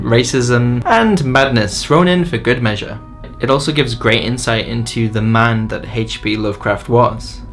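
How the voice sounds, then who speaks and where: Casting: male book reviewer, average-pitched voice, keen and opinionated scripted narration, faint music bed.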